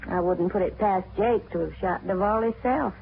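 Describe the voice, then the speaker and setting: A woman's voice: medium pitch, normal speed, gravelly, close to an everyday voice. Woman playing a plain-talking frontier ma with a grudge, tinny hissy old radio sound. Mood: calm. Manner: plain-spoken, country grammar. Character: grieving frontier widow, mother, quietly vengeful. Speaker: frontier mother. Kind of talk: 1950s radio drama acting.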